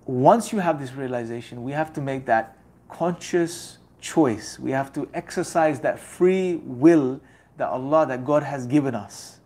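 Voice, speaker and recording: A man's voice: mid-range voice, a little slow, a little mellow. A young man giving an earnest, exhorting religious talk that leans on rhetorical repetition. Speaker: religious speaker.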